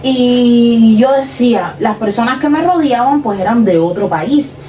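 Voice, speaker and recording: A young woman with a Cuban accent talks earnestly to her viewers in a personal confessional vlog, often slowing and trailing off as she reflects. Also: on the high side, warm tone, slight background noise. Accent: Cuban Spanish. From Cuba. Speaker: vlogger. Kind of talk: personal confessional talk to camera.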